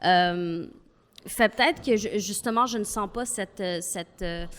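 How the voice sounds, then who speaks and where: A woman's voice: mid-range voice, unhurried, a bit bright. Earnest woman. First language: not French. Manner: earnest, thoughtful, argues step by step. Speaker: panel guest. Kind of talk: panel discussion.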